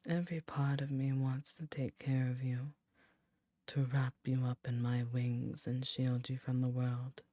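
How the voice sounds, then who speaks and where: A woman voicing an ancient succubus queen, tender and soothing, in a soft, breathy, intimate ASMR roleplay. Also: extremely low pitch, level tone, no echo. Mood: tender, solemn. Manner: slow, intimate, reassuring.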